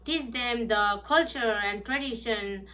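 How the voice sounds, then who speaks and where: Nepali-accented woman in her 30s, earnest and halting, keeps searching for words, kinda muffled recording. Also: on the high side. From southern Bhutan. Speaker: student presenter. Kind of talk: personal spoken presentation.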